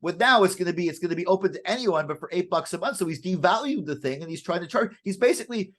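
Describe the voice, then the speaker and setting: Halting man, slightly high voice. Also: expressive, a bit bright. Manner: halting, repeats and rephrases himself. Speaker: podcast co-host and writer. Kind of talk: casual current affairs discussion.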